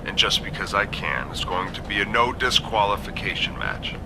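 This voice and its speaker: A man's voice: fairly low pitch, expressive, moderate pace, sharp tone, slight background noise, heavily acted. An actor playing a smug, scheming general manager in a wrestling video game, taunting as he speaks. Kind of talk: scripted wrestling game cutscene acting.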